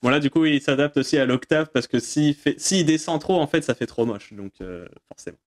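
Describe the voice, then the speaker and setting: A man's voice: fairly high pitch, brisk. Casual, hesitant man giving a demo. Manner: informal, hesitant, self-deprecating. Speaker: tutorial presenter answering viewer questions. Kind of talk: casual software demo with off-the-cuff explanation.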